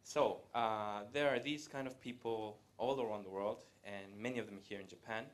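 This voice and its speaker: A man, giving a live presentation to an audience in a conversational way, pausing often to hesitate. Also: fairly low pitch, steady tone. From Latin America. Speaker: guest speaker. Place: event room with a live audience.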